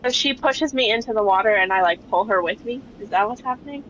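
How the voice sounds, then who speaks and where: A woman's voice: mid-range voice, quick pace, a bit bright, highs cut off, a little hiss or hum. A woman playing a tabletop game, speaking in casual chat.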